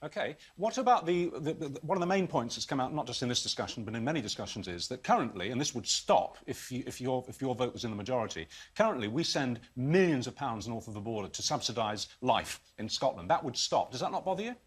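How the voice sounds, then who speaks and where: A man's voice: mid-range voice, animated, fast talker, few pauses, husky. A man hosting a radio phone-in debate and putting probing, challenging questions to guests and callers. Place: radio studio.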